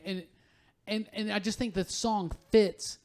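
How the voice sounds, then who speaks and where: High-pitched man hosting a podcast. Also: fairly even intonation, measured pace, a little mellow. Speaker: podcast host. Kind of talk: casual panel chat.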